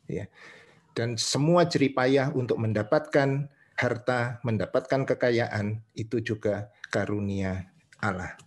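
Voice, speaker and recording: A male preacher with an Indonesian accent and a medium-pitched voice delivers a teaching sermon. He moves between reading verses and explaining them, building each point in short, measured phrases. He often adds small confirming tags as he goes. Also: a little mellow.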